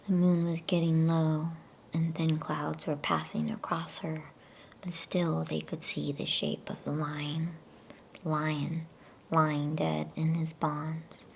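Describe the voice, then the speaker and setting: A woman whispering a bedtime story in ASMR style, with a hushed, breathy, gentle delivery. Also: deep voice, little pitch movement, poor audio. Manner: slow, hushed, gentle pacing. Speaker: storyteller reading a bedtime book. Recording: faint background noise.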